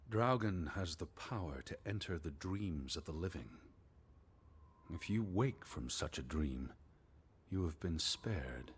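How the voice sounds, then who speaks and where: Low-pitched 1920s American naturalist, formal, measured, pedantic, sceptical, video game drama, music behind. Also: male voice, breathy edge, exaggerated voice. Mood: grave, ominous. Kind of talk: scripted game dialogue and reading aloud.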